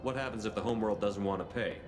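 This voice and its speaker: Casting: male actor as no-nonsense soldier hero for a sci-fi game, very low voice, quick blunt lines, music underneath. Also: fairly even intonation, lightly acted. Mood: probing, skeptical. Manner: terse, blunt, firm. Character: tough, no-nonsense male military commander hero. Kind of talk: video game voice acting.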